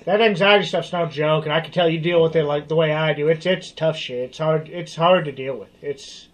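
An easygoing man in his thirties co-hosts a casual interview and agrees readily with the others. His voice sits slightly high for a man. He sounds sympathetic and relaxed. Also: a little fast. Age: 30s. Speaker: co-host.

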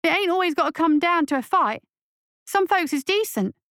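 A woman performs a young street urchin boy in a dark fantasy audiobook. The voice is pitched up high, with rough street grammar. He sounds scared yet earnest and a little defiant. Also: animated, moderate pace, no echo, clean studio sound. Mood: pleading. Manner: uneducated street dialect, pleading questions.

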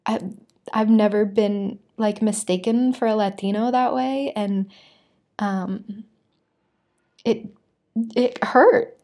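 A woman's voice: medium pitch, creaky, dark tone. A young American woman telling a personal story to camera in a casual, candid way, full of "like" fillers. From United States. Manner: chatty, frequent "like" fillers, candid. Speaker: vlogger.

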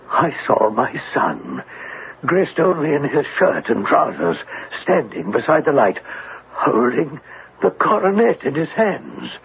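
A man's voice: on the high side, vocal fry, full character voice. An actor playing a stern, anguished middle-aged father with formal, theatrical delivery in an old radio drama. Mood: fearful, grave. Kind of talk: vintage radio drama acting. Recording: tinny, hissy old radio recording.

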